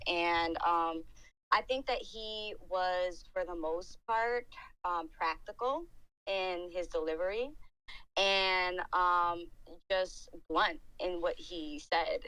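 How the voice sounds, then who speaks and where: A woman calling into a live chat room, giving measured, thoughtful opinions with frequent pauses. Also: lowish voice, pushed and bright, dry recording, low-quality recording. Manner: measured, thoughtful, with many ums. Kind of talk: casual opinion talk.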